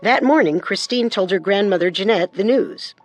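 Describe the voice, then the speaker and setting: Woman narrating true crime with measured emphasis. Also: mid-range voice. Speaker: co-host narrator. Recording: music under the voice.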